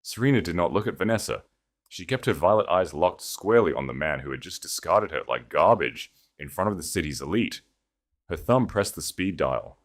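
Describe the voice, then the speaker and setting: Kalın sesli erkek hikâye anlatıcısı. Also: male voice, low voice, animated, normal speed. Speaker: audiobook narrator. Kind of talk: dramatic scripted fiction narration.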